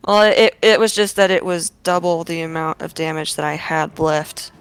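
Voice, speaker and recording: A woman's voice: deep voice, moderate pace, clean tone, no room sound. A woman chatting casually as a player in a tabletop roleplaying game.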